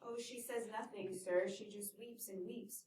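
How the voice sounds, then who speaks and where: A woman's voice: mid-range voice, steady tone, unhurried, a little mellow, a little hiss or hum, lightly acted. A woman voicing a fussy, devoted older nurse who frets and exclaims in a scripted audio drama. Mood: fretful. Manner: fretful, exclaiming. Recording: echoey, distant from the mic.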